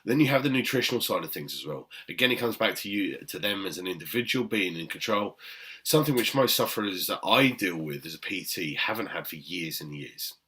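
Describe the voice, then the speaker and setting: A man from Britain speaks in a very deep, low voice with a forceful, bright edge. He lectures fellow trainers emphatically, pausing on "um" and checking in with "okay?". It sounds like an unscripted talk to camera. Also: animated. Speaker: personal trainer.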